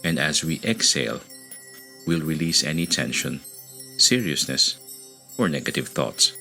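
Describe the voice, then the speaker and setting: A man narrating a guided meditation in a measured, deliberate way, pausing between phrases. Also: a bass voice, expressive, vocal fry, bright, forceful tone. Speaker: meditation podcast host. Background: music under the voice.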